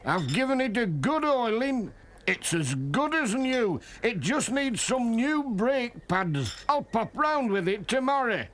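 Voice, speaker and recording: A man's voice: extremely high pitch, big pitch swings, a little slow, a bit bright, slight background noise, pitched above the performer's own voice, exaggerated voice. A man voicing an easygoing, helpful village handyman in a children's cartoon. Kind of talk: children's cartoon character acting.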